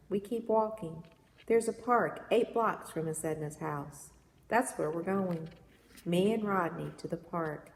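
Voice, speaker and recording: A woman reading children's poems aloud in an expressive storytelling manner, recorded in an echoey room. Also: fairly low pitch. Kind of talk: read-aloud of children's verse novel. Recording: echoey reverberant room with background noise.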